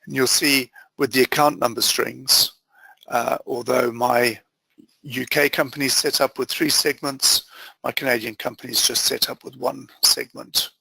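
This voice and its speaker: A man presenting an instructional software demo as a trainer, explaining methodically step by step in short phrases. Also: medium pitch, fairly even intonation, moderate pace, creaky, husky, dry recording, low-quality recording. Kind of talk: instructional screen-share walkthrough.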